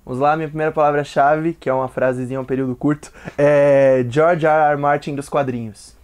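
A man's voice: fairly high pitch, fairly even intonation, brisk, a little mellow, clean tone. A young Brazilian man appearing as a guest in a casual comic book review chat, easygoing and jokey, recorded at home on a lapel mic. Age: young adult. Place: home room with bookshelves.

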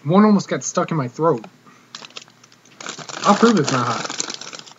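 A young man with a fairly high voice talks through a muffled webcam mic with faint noise. He vlogs casually from a small room, cocky and full of slang. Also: animated, takes it slowly. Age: young adult. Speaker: vlogger, prank caller. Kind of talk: casual comedic vlog chat to camera. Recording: dull webcam audio with noticeable hiss.

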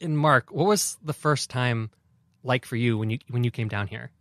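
A man's voice: medium pitch, moderate pace, a bit bright, dry recording, studio quality. A man hosting a podcast, asking friendly conversational questions while seated aboard a submarine. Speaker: podcast host. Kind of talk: podcast interviewing. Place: submarine galley.

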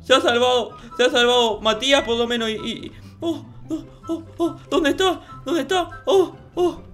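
Casting: a naive, excitable noob boy for game roleplay, boastful, repetitive, music underneath. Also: high-pitched, moderate pace. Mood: shocked, distressed. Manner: repetitive, exclamatory, childish diminutives. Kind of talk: improvised gameplay roleplay. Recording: music behind the voice.

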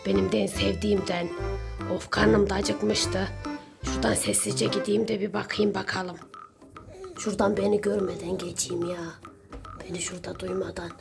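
A woman's voice: mid-range voice, level tone, normal speed, creaky, over music, voice pushed down. A woman playing a greedy, ever-hungry neighbour intruder who whines and mutters in a children's pretend-play skit. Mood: furtive muttering. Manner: whining, pleading, muttering to self. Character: whiny, greedy, ever-hungry pot-bellied neighbour intruder scheming for snacks.

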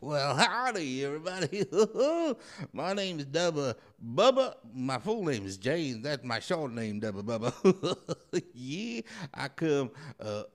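A man voicing a goofy, forgetful American cowboy who drawls, chuckles and rambles, speaking into a boom-arm desk mic in a small home studio. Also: big pitch swings, takes it slowly, full character voice. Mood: jolly.

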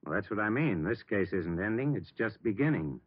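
An actor playing a middle-aged, steady police captain with an American accent in a 1950s radio drama, measured and authoritative. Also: male voice, fairly low pitch, husky, soft background music. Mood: businesslike. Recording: dry, narrow-band vintage radio recording.

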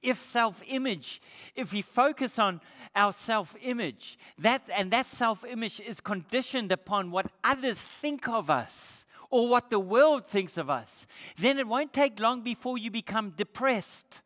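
An older man preaching a sermon as pastor, emphatic, lifting his voice on scripture quotes and dropping low for quiet points. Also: expressive, measured pace. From Australia. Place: church stage with brick wall. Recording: church hall with long reverb.

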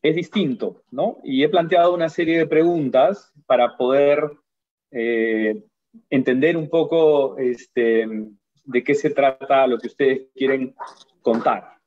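A man's voice: mid-range voice, slow pace, dull audio. A man with a Peruvian accent, a psychologist, asking interview questions over a video call, hesitant and full of fillers. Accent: Peruvian Spanish. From Peru. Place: home room, video call.

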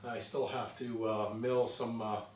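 A man in his fifties casually narrating his work in a machine shop, relaxed and pausing often with fillers. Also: fairly low pitch, far from the mic. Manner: relaxed, talks while working, many "uh" fillers. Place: large home machine shop, indoors. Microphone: fixed camera across the room. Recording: roomy shop echo, off-mic, loud machine noise.

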